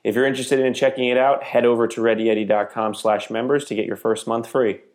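A man's voice: mid-range voice, steady tone, fast talker, a little mellow. A man hosting a podcast, speaking in a casual, chatty way to listeners and his guest. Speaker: podcast host.

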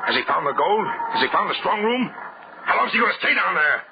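An actor playing a desperate, scheming salvage-ship captain in a 1940s radio horror drama. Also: male voice, high voice, very animated, brisk, creaky, full character voice. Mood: impatient, anxious. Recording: very noisy, dull 1940s radio broadcast.

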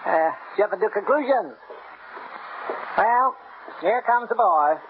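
A folksy small-town station man with a very high voice. He is hesitant and gossipy, hemming his way through rustic phrases in a vintage radio drama with a thin, phone-like sound. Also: animated, slow, heavily acted. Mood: cagey, folksy. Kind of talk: 1950s radio drama acting. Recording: tinny old radio recording, some noise.

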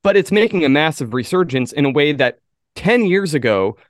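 A man's voice: on the high side, big pitch swings, brisk, no room sound, studio quality. A man chatting casually in a podcast conversation, telling things in a loose, everyday way. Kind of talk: casual chat.

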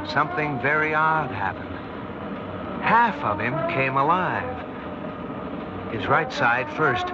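An actor playing a haunted male scientist, narrating in tense, deliberate 1960s radio drama style with dramatic pauses. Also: on the high side. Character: haunted younger male scientist recounting a terrifying memory. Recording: dull 1960s radio recording, loud dramatic music.

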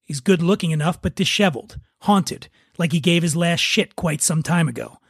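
A man's voice: on the high side, moderate pace, vocal fry, fairly bright tone, husky, no room sound, studio quality. A man hosting a podcast, talking casually and narrating a script. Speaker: podcast host and writer.

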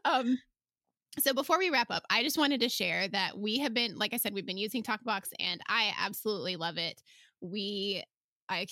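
Bright-voiced woman hosting a chatty interview. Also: medium pitch, moderate pace, no echo, studio quality. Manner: enthusiastic, encouraging. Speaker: podcast host.

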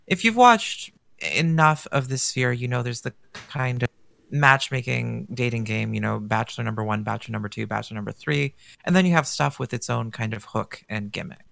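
A man's voice: medium pitch, a little fast, creaky, fairly bright tone, no room sound. A man co-hosting a podcast, giving his take on a dating show in a casual, thoughtful way with rhetorical questions. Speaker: podcast co-host.

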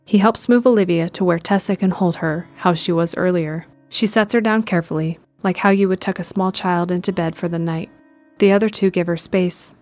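A woman with a slightly low voice narrates a scripted drama. Her delivery is measured and descriptive, with faint music playing beneath. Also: steady tone, darkish tone.

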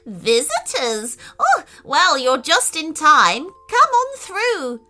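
A woman's voice: sits high, huge pitch swings, bright, forceful tone, faint music behind, pitched above the performer's own voice, exaggerated voice. A woman voicing a kindly old granny in a children's story, exclaiming and welcoming. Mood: surprised, welcoming. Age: older. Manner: hospitable, unhurried exclaiming.

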